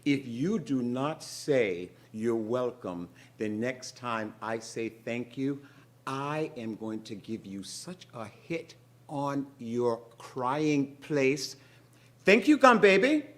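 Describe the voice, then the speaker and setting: A man voicing a prim, short-tempered woman with fussy, overly polite manners who is quickly exasperated. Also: on the high side, very animated, a little mellow, voice pushed up. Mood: scolding.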